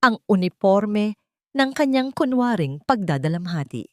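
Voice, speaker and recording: A woman's voice: mid-range voice, very animated, unhurried, no echo, clean studio sound. A woman audiobook narrator reading a scripted story in a dramatic storytelling style.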